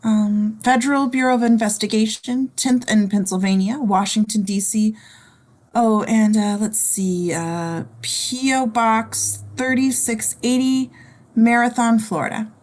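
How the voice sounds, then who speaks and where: A woman's voice: on the high side, unhurried, a little mellow, close to an everyday voice. A woman playing a young graduate assistant in a table read, polite, helpful and hesitant. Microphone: video call.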